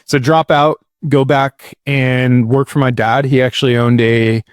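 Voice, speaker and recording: A man's voice: mid-range voice, flat delivery, a little slow, smooth, no room sound, clean studio sound. A man in his thirties, a podcast guest, telling his life story in a loose, rambling way with lots of "like" and "dude". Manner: laid-back, rambling, says dude and like a lot. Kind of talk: casual storytelling interview.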